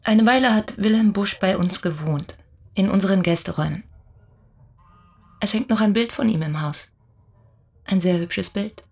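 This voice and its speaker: Composed, husky, quietly defiant woman. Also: mid-range voice, moderate pace, long pauses. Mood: calm, guarded. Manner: terse, restrained, unruffled replies. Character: composed, quietly defiant woman, guarded but tender-hearted. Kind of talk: German radio drama acting.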